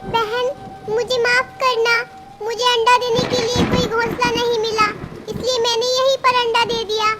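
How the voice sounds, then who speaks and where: A woman's voice: extremely high pitch, little pitch movement, normal speed. Tuntuni, a woman voicing a tiny pleading bird. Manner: pleading, apologetic. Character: small timid female bird, pleading mother. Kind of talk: cartoon story voice acting.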